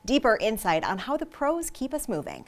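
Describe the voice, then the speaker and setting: A woman's voice: fairly high pitch, sing-song, normal speed, slight background noise. Upbeat woman hosting a local TV feature. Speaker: show host and interviewer.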